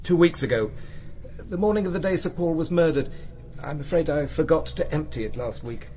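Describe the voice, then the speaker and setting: A male actor plays a mild, fussy parish priest in a radio drama. He is polite and hesitant, with a slightly high, lively voice over noticeable background ambience. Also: fairly quick, a little hiss or hum, lightly acted. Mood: puzzled.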